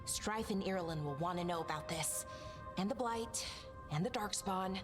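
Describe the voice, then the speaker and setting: A woman's voice: lowish voice, moderate pace, lightly acted. An actress playing an earnest, plainspoken young woman scout who fixes magical artifacts in a video game. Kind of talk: video game cutscene acting. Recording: game music behind.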